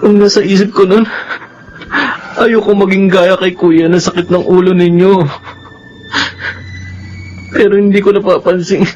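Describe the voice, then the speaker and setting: A guilt-ridden grown son in a Filipino radio drama, high-voiced and melodramatic as he pleads for approval. The audio is dull, with faint noise behind him. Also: level tone, a little slow, pauses often, a little mellow. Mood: remorseful pleading.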